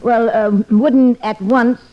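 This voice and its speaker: A patient, slightly puzzled homemaker in an old radio comedy. Her voice is fairly high, obliging with mild exasperation, and the vintage recording is dull. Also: steady tone, slow pace, very noisy. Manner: homely, obliging, mildly exasperated. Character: patient, slightly puzzled woman caring for boy. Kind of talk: old-time radio family comedy acting.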